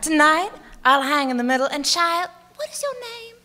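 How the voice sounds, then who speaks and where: Woman voicing a high, unhurried southern dress character for a storybook; easygoing, sweet-talking, echoey hall. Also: sing-song, exaggerated voice. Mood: soothing. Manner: warm drawl, calls people honey and sugar. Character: friendly easygoing paisley dress, female, southern.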